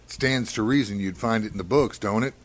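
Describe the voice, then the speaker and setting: A man's voice: lowish voice, fairly quick, lightly acted. An actor playing an uneasy, plainspoken farmer father with rustic, folksy speech in a radio drama. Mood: troubled, insistent.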